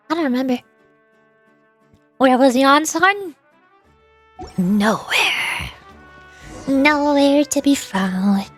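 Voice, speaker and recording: High-voiced playful woman streamer. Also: dry recording. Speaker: streamer voice acting game dialogue. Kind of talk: casual livestream chat. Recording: music behind.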